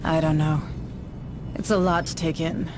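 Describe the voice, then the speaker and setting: Composed, low-voiced woman administrator. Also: flat delivery, noisy background. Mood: tired, relieved. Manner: measured, formal, dry. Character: composed, determined female colonial deputy administrator. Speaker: deputy administrator. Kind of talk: video game dialogue.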